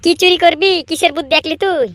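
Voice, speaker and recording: A woman's voice: very high voice, fast talker, a bit bright, dry recording. A woman voicing a needling young male sidekick in a comedy cartoon, scolding and full of questions.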